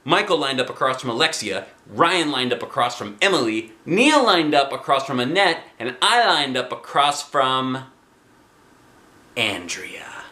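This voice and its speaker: Male storybook narrator, expressive and dramatic, wide pitch swings, measured pace, roomy recording. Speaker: storybook reader, a dad. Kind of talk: animated children's book read-aloud.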